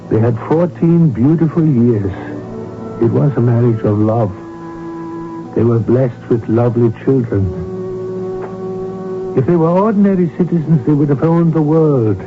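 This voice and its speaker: A man narrating a radio drama as a solemn storyteller, deliberate and dramatic in his phrasing. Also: mid-range voice, lightly acted. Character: solemn storyteller of a doomed royal marriage. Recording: muffled old radio broadcast recording. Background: music.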